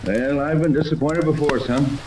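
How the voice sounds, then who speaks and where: A man's voice: on the high side, fairly even intonation, moderate pace, natural voice. Man playing seasoned captain, echoey crackly radio. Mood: resigned. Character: seasoned, steady male yacht captain and inventor, fatherly. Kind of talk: 1930s radio serial acting. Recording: noisy, echoey old radio recording.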